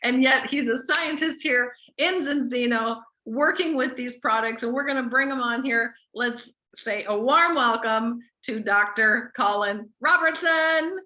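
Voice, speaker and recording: A woman's voice: fairly high pitch, a little slow, few pauses, bright, forceful tone, poor audio. A woman casually hosting a group call, introducing things in an enthusiastic, gushing manner. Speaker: host of a weekly company group call.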